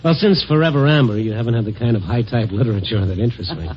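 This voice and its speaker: Man doing a wry hard-boiled reporter, laconic narration, mid-pitched, muffled old radio sound. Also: quick pace, slight background noise, close to an everyday voice. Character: world-weary male night reporter, wry. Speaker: lead actor of a radio drama. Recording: dull lo-fi old radio recording.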